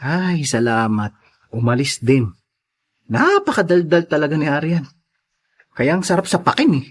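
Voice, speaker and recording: A man's voice: a little high, lively intonation, normal speed. A man playing a careless, hard-drinking young father in a theatrical Tagalog radio drama. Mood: smug, gleeful. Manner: exaggerated, theatrical delivery.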